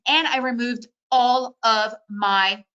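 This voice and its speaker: A woman's voice: on the high side, steady tone, slow pace, sharp tone, smooth, lo-fi sound. A woman, a native Russian speaker who grew up in the United States, leading a trading webinar in a chatty, upbeat way with lots of "you know" and "right?". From Soviet Union, raised in the United States. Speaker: day trading educator hosting a webinar. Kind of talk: casual webinar talk.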